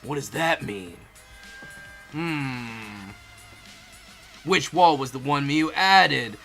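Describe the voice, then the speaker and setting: A man's voice: medium pitch, lively intonation, drawn-out words, pushed and bright, faint music behind, heavily acted. A man voicing a puzzled, thoughtful teenage student detective in a game fan dub. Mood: pondering.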